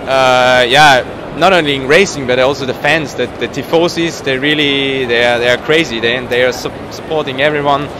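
A man's voice: mid-range voice, steady tone, moderate pace, few pauses. Guy around 30, non native english, chatting in an interview, kinda hesitant, busy noisy trade show behind him. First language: not English. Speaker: professional cyclist being interviewed. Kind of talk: casual interview. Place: indoor trade show booth. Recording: noisy trade show hall, background ambience.

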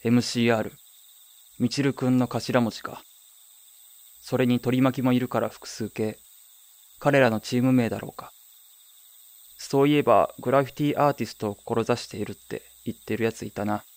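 A man acts as a young man telling his own story in a radio drama. He sounds reflective and wry, at a middling pitch, recorded close and very dry. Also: fairly even intonation, normal speed, pauses often, darkish tone, no echo, close to an everyday voice. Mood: dry, indignant. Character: young man narrating his wistful hometown memories, wry, easily stung. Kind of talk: first-person radio drama narration.

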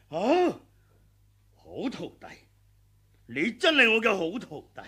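An actor playing a treacherous, greedy aging male sword master in a 1980s radio drama, sly and sneering, with sudden furious yells. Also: high voice, husky, full character voice. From Hong Kong.

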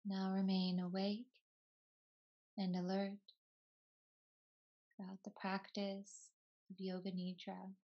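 A woman yoga teacher leading a guided meditation in a soothing, unhurried way, in short phrases with long pauses between them. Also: mid-range voice, completely flat delivery, highs cut off. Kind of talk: slow guided meditation narration.

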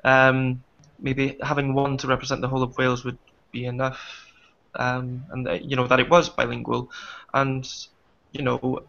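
A man's voice: mid-range voice, monotone, normal speed, vocal fry, bright, forceful tone. A young man, a performer and writer, talking hesitantly with many fillers and restarts in a casual panel discussion over a video call from a small dim room. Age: young adult. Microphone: laptop webcam video call. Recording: muffled video call audio.

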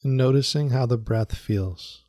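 A man leading a guided meditation in a soothing, unhurried manner with long pauses and repeated phrases. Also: mid-range voice, dark tone, no room sound, clean studio sound. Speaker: meditation guide, yoga teacher. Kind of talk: guided meditation, calm instruction.

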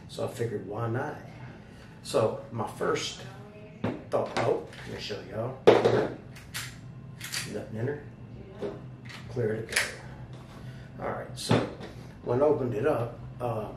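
A slightly hoarse man giving a casual, folksy product review straight to his viewers. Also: mid-range voice. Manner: folksy, colloquial, addressing viewers directly. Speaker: product reviewer, channel host. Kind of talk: casual chatty holster video review. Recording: roomy, distant mic with loud background noise.

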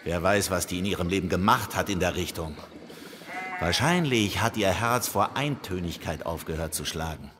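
An actor playing a grumpy, scornful devil in a radio play, sneering and coarse. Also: male voice, deep voice, lively intonation, moderate pace. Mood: scornful, annoyed. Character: grumpy, scornful devil mocking his lovesick companion. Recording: long reverb, background noise.

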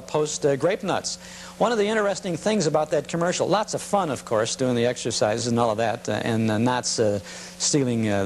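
A man hosting a nostalgia show with informal, halting commentary full of hesitations. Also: mid-range voice, slight background noise.

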